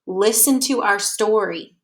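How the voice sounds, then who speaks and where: A woman's voice: mid-range voice, a little slow, close to an everyday voice. A woman voicing a kindly, easygoing male mouse schoolteacher in a children's storybook. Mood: patient, firm.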